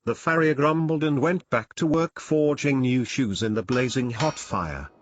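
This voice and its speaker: A man's voice: mid-range voice, normal speed, dry recording, lo-fi sound. A man reading a scripted story for children as its narrator.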